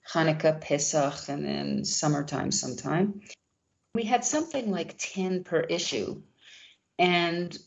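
A woman who runs a literary journal speaks in a low voice with a gravelly edge. She answers at length, gently, and often restarts her sentences. The recording sounds slightly dull. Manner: gentle, warm, with many restarts. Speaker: founding editor of a literary journal. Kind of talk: interview answers.

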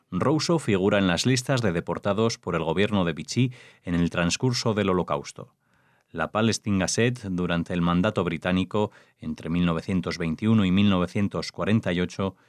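Very low-voiced man reading formally. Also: no echo, studio quality. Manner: formal, informative. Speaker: genealogist collaborator. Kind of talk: scripted reading.

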